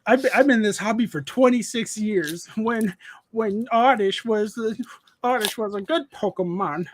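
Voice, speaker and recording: A man in his thirties hosts a relaxed evening stream with friends, talking in a noticeably high voice. He rambles, restarts his sentences and stops to read viewers' messages aloud. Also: normal speed, slight background noise. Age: 30s. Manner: rambling, restarts often, reads chat aloud. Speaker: streamer host. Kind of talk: casual live-stream chat.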